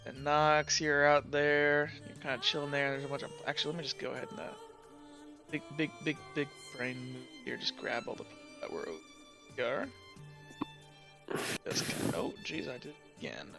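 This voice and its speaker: A man narrating a tabletop roleplaying game as game master, improvising scenes in halting, loose phrasing. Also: fairly high pitch, vocal fry, sharp tone, faint music behind.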